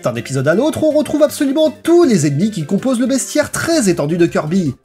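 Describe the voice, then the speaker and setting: A man's voice: high-pitched, sing-song, quick pace, a little mellow. A man reading a scripted video essay in a playful, theatrical storytelling manner. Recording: voice-over with music behind. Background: background music.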